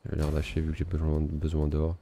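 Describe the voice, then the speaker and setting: Low rumbly guy chatting over his game, laid back, pokes fun at himself. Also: fast, vocal fry, a little hiss or hum. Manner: relaxed, self-mocking, thinking aloud. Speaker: gaming video host. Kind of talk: casual let's-play commentary.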